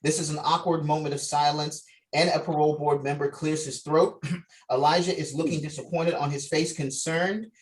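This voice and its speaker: A man's voice: a little high, fairly even intonation, normal speed. A man directing a script table read on a video call, switching between reading stage directions and coaching actors. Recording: distant mic on video call.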